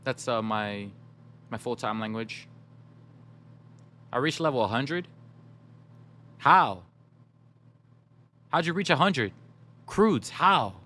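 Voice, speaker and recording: A man's voice: medium pitch, animated, slow, pauses often, sharp tone. Upbeat man chatting with his stream, Somali native. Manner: chatty, upbeat, talks straight to chat. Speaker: gaming streamer. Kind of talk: casual live-stream chat.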